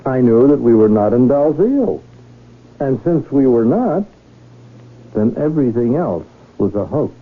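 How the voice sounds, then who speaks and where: A man acting a shrewd, courtly lonely rich gentleman in a 1970s radio drama, with formal, florid, deliberate speech. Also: medium pitch, sing-song. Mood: assured, pleased. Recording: tinny, noisy old radio broadcast.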